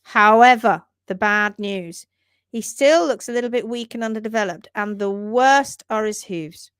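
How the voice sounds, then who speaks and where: A woman hosting a live stream on hoof care, talking quickly and repeating herself, laughing often as she reads out viewer posts. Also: on the high side, clear, resonant tone, no room sound, studio quality. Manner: rapid-fire, repeats words, chatty. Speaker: livestream host, hoof care teacher. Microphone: livestream setup.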